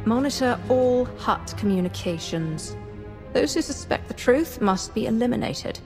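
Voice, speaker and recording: A woman's voice: on the high side, lively intonation, normal speed, music in the background, lightly acted. A woman playing a ruthless, haughty Sith lord in a video game, giving commands with cold menace. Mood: cold, commanding. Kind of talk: scripted video game acting.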